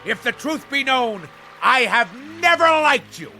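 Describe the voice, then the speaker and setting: Radio play role: grandiose nautical-mad husband, middle-aged, very sharp forceful tone, high-pitched and blustering. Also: male voice, very animated, unhurried, faint music behind, heavily acted. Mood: scornful. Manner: grandiose, declamatory, swinging from hushed to bellowing. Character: pompous, blustering nautical-obsessed husband, middle-aged model-ship tycoon. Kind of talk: theatrical radio drama acting.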